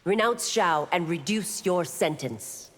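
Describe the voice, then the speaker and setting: An actress playing a stern, resolute law officer and warrior in a video game, with a firm, formal manner. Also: female voice, fairly low pitch, animated, takes it slowly, a bit bright, heavily acted. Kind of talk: video game heroine acting. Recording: reverberant arena with clear background noise.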